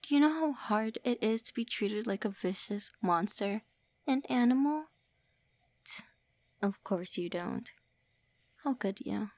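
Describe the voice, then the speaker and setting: A woman voicing a lonely, prickly female vampire who is centuries old, in an ASMR roleplay, often speaking softly or in whispers. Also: mid-range voice, animated, unhurried, long pauses. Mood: bitter. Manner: tsundere, sharp then wistful.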